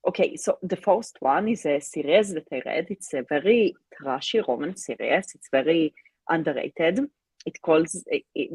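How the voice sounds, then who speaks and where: A woman with a low, slightly rough voice, recorded close and dry. English is not her first language, and she searches for words as she chats informally on a reading livestream. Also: a little mellow, no room sound. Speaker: co-host of a livestreamed reading sprint. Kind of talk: casual unscripted livestream chat about books.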